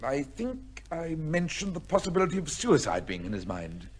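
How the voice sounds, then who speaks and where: An actor playing a proud, imperious judge in an old radio drama, husky and formal in speech. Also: male voice, fairly high pitch, big pitch swings. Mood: defensive. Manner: imperious, formal, quick to take offence. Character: proud, indignant High Court judge under suspicion.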